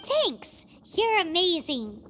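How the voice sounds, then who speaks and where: A woman voicing a tiny childlike fairy companion in a video game, squeaky, earnest and plucky. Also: big pitch swings, takes it slowly, a bit bright, soft background music, exaggerated voice. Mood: worried, plucky.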